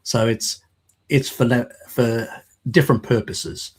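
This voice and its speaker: A man, explaining things in a rambling, halting way on a video call, with a slight lingering croak from a cold. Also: medium pitch, steady tone. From Australia. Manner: rambling, halting with fillers and restarts. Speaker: guest, works in mapping. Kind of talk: casual live-stream chat.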